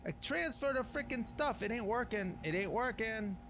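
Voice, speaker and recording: Man, casual game commentary, higher-pitched, very animated intonation, slangy grumbler, faint background noise. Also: moderate pace. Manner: grumbling slangy talk with mild minced oaths. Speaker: gamer narrating a playthrough. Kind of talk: casual improvised gameplay commentary.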